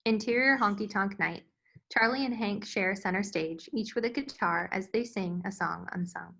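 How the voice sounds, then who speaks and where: A woman's voice: medium pitch, moderate pace, gravelly, sharp tone, low-quality recording. A woman reading scripted stage directions as the narrator of a screenplay.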